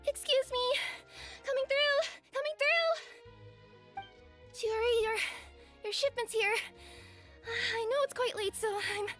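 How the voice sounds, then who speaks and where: A woman's voice: extremely high pitch, steady tone, slow, pauses often, fairly bright tone, soft background music, exaggerated voice. A woman voicing a young, friendly cat-spirit courier in an anime-style game, bubbly, hesitant and easily flustered. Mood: breathless, rushed.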